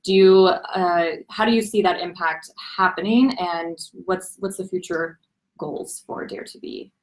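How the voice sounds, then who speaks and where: A woman's voice: fairly low pitch, unhurried, low-quality recording. A young woman interviewing a guest for a live online series, asking long, winding questions and affirming often. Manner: friendly, frequent affirmations, long multi-part questions. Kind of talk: casual live-stream interview.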